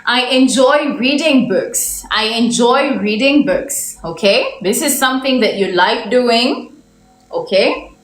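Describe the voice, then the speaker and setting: A woman's voice: a little high, animated. A young woman from Uganda teaching English on a livestream. She stretches out her example sentences and keeps asking viewers for the correct answer. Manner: slow, drawn-out example sentences, repeated questions to viewers. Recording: echoey room, faint background noise.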